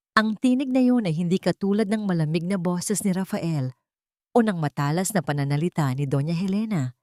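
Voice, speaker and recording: Woman, fairly low pitch, dramatic story narration, measured literary delivery, dry close sound. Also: a little mellow, no echo.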